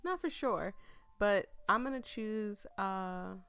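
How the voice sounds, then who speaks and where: A hoarse woman plays through a story game, reading the narration aloud and breaking off to chat. Her mid-pitched voice carries a lot of gravelly fry, and her talk is giggly and loose. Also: lively intonation, slow pace, pushed and bright, faint music behind. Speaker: game streamer.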